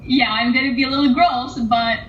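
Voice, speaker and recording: Higher-pitched woman in a roomy, muffled recording. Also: fairly even intonation, a little fast. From England and Oman, later the United States. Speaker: interviewed artist. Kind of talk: casual interview conversation. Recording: roomy, dull, lo-fi audio with faint noise.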